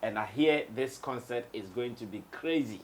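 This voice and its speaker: A man's voice: mid-range voice, normal speed, slight background noise. A man with a Ghanaian accent giving casual commentary as a studio pundit on an entertainment show.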